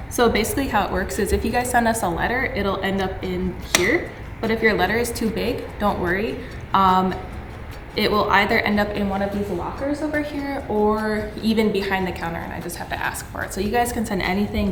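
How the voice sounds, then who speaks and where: A woman's voice: mid-range voice, a little fast, noisy background. A young woman vlogging casually in a bubbly, playful way, her voice a bit stuffy from a cold. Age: young adult. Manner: bubbly and playful, laughs often. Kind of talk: casual vlog chat. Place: living room, then post office. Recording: roomy sound with music behind.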